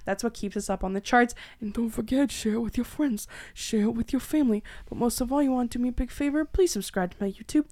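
A woman hosting a podcast in a casual, animated, self-deprecating way with salty language. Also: on the high side, quick pace, few pauses. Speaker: podcast host. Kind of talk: casual chatty podcast banter.

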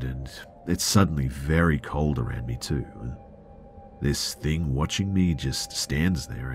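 Very deep-voiced man telling scary stories. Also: a little mellow. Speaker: narrator of listener horror stories. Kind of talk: scary first-person storytelling.

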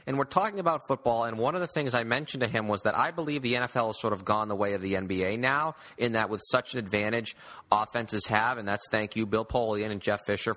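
A man's voice: mid-range voice, sharp tone, poor audio. A man hosting a sports podcast, asking long, emphatic questions in a conversational, opinionated way.

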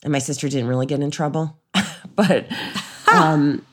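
A middle-aged American woman co-hosting a podcast, telling casual anecdotes in an animated way and often acting out quotes. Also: low-pitched, normal speed. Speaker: podcast co-host. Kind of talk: casual conversational chat, anecdotes.

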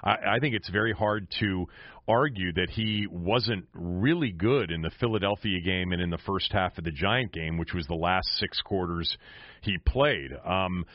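A man's voice: low voice, moderate pace, no echo. A man hosting conversational sports talk, restarting and repeating phrases as he works through a thought, with slightly dull audio. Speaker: sports show host.

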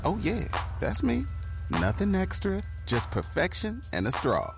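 A man's voice: high voice, huge pitch swings, a little slow, gravelly, music in the background. A man doing a casual, playful scripted read as an advertising voice-over.

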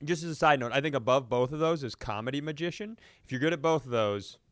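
A man telling comedic stories in casual, animated, profane banter, often acting out quotes as a comedy podcast host. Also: medium pitch, quick pace, no echo, studio quality.

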